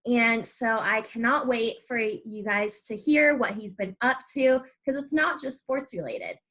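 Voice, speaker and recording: A woman's voice: fairly high pitch, animated, a little slow, fairly bright tone. A young woman hosting a podcast in a casual interview style. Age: young adult. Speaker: podcast host.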